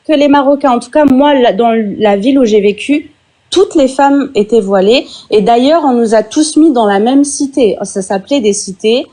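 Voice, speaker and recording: Woman interviewee, earnest and halting, warm slightly high voice. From France. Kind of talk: defensive personal account.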